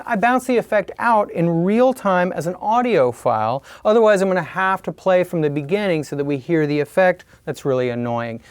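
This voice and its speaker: A man's voice: sits high, no room sound. An American man in his forties giving plain-spoken, scripted tutorial narration in a studio. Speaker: tutorial host. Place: studio, indoors.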